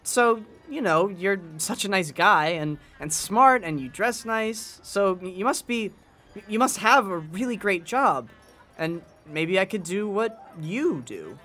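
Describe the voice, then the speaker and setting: A woman's voice: mid-range voice, lively intonation, unhurried, fairly bright tone. A woman playing an earnest, polite young bellboy in a 1920s-style radio drama, speaking in a hesitant, eager, deferential way.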